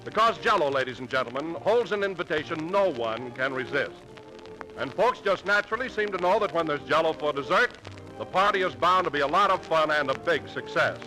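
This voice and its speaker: A man's voice: on the high side, bright, forceful tone, poor audio. A man reading a scripted 1940s radio advertisement as a polished, enthusiastic announcer. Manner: polished, enthusiastic sales delivery. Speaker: commercial announcer.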